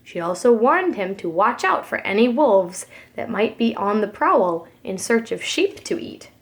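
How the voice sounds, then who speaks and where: A woman's voice: medium pitch, a little slow. A woman preschool teacher reading a storybook aloud expressively for young children. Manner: expressive, addresses young listeners.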